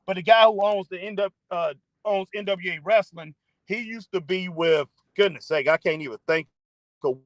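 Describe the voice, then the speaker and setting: Bright, high-voiced chatty man. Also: no room sound. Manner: rambling, chatty, reads viewer comments aloud. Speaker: live stream host. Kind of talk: casual live-stream chat. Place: in his car.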